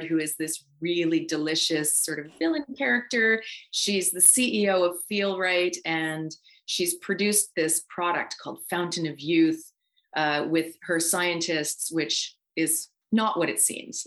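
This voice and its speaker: A woman's voice: on the low side, fairly bright tone. A woman, an actress speaking casually as an interview guest. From Ontario, Canada.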